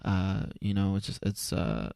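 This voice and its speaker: A man in his twenties answering casually as a podcast guest, halting and self-effacing, often repeating his words. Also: sits low, very animated, vocal fry, darkish tone, no echo, studio quality. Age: 20s. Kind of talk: casual, unscripted interview answers. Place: converted garage home studio.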